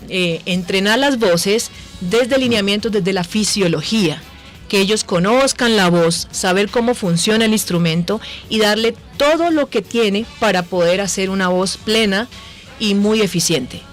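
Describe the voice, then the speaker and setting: A Colombian woman speaks as an expert guest in a radio booth. Her pitch is average for a woman, and she explains things in interview style. Faint music plays behind her. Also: a little slow. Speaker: voice center director, guest.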